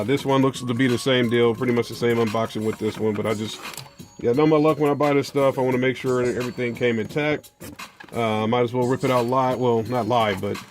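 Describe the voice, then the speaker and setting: An American man talks to his viewers like a relaxed product vlogger, in loose, slangy, colloquial phrasing. His voice sits at an average male pitch. Faint music plays behind him. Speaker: car audio video host. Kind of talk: casual unboxing and product chat to viewers.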